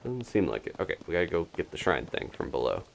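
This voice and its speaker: A man streaming a video game, giving casual live commentary and chatting as he thinks out loud. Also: deep voice, big pitch swings, quick pace, gravelly, slight background noise.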